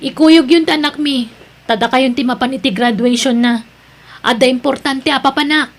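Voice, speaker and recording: A woman's voice: high voice, fairly even intonation, normal speed. A woman acting a discontented young wife and mother in an audio drama, curt and complaining in her delivery. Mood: stubborn.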